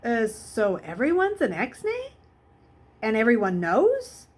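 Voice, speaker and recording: A woman's voice: fairly high pitch, huge pitch swings, takes it slowly, a little mellow, slightly rough, exaggerated voice. A woman voicing an eager, uneasy teenage boy in a storybook, hesitant and full of questions. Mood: astonished. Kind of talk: children's novel read aloud.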